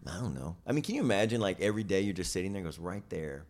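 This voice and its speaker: Low-voiced man chatting casually, rambling. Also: expressive, rapid-fire, a little mellow. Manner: rambling, self-interrupting, wry. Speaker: podcast guest, comedian. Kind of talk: casual conversational chat.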